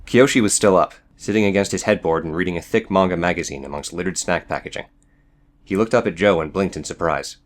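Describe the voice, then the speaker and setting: Very low-pitched male audiobook narrator. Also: fast talker. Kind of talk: scripted fiction narration.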